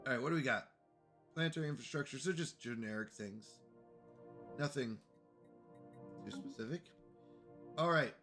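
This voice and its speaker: Man, congested, bright tone, casual stream chat, faint background music. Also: mid-range voice, moderate pace, long pauses. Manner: chatty, greets chat viewers. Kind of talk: casual live game commentary.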